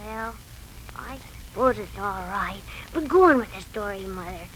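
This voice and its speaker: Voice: mid-range voice, animated, moderate pace, a bit bright, natural voice. An actor playing a sweet, inquisitive little boy in a 1940s radio drama, on a noisy vintage recording. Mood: pleased, doubtful.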